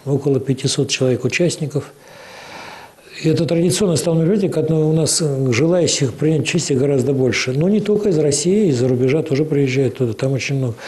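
A man answering interview questions at length as an official, explaining in a measured way with frequent hesitations. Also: on the high side, a little mellow. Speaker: interview guest, cultural organization official.

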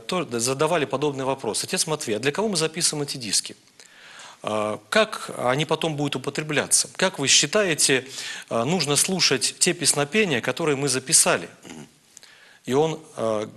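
Bright-voiced man in measured religious talk. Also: medium pitch. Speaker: priest guest answering viewers' questions on air. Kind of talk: live religious talk, answering questions.

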